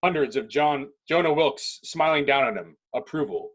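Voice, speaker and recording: Husky man reading screen directions. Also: a little high, animated, moderate pace, fairly bright tone, rough recording. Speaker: script narrator. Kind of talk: scripted narration.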